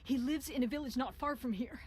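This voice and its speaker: An actress playing a wounded, frightened aid worker in a video game, weary and pleading, her speech halting with pain. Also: sits high, darkish tone, breathy edge. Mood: pained, earnest. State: weak, in pain, faltering.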